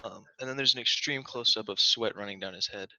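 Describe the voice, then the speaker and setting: A man's voice: fairly low pitch, brisk, pushed and bright, no room sound. A man reading camera shot directions at a script read, with muffled video call audio.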